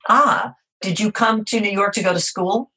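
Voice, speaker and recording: Husky-voiced woman hosting a remote interview. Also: mid-range voice, normal speed, pushed and bright. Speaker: interview show host. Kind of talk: remote interview, scripted intro. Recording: remote call audio.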